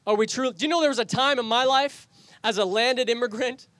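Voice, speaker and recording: A man's voice: very high-pitched, fairly quick, fairly bright tone, no room sound. A man pastoring an outdoor service, preaching a sermon with a Canadian accent, earnest and prone to repeating and restarting phrases. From England.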